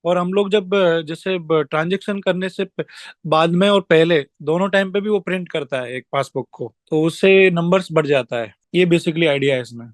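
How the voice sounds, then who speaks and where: A man's voice: on the high side, moderate pace, dry recording, studio quality. A man deferentially reporting work practices to his superior on a conference call, often stumbling over his words. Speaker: regional staff member reporting.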